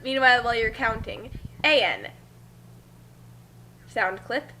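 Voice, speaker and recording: Animated, very high-voiced woman, playful chat. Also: unhurried, poor audio. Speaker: co-commentator. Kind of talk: casual, playful group chat.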